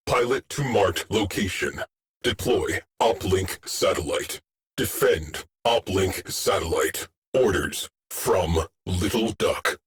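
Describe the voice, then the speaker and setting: An actor playing a terse, stoic military ship AI in a video game, giving clipped, deadpan orders in a husky voice. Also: male voice, sits low, full character voice. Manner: clipped, deadpan, short imperative phrases. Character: terse, stoic military ship AI, adult male, coldly commanding. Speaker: tactical mission operator. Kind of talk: scripted video game voice lines.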